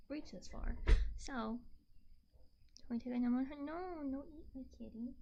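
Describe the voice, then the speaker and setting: A woman chatting casually on an ASMR stream in a soft, gentle, often whispery voice, muttering to herself and repeating little phrases, close on a binaural mic. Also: on the high side. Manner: rambling, muttering to self while fiddling with gear, repeats phrases. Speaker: livestreamer and artist. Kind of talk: casual ASMR livestream chat. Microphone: close binaural ASMR microphone. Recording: muffled audio, clear background noise and handling clicks.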